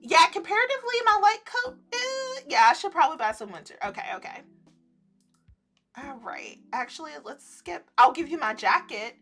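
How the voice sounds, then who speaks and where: A woman streamer reading a visual novel aloud in an animated let's-play style, breaking into giddy, giggly reactions and commentary. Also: high-pitched, normal speed, soft background music.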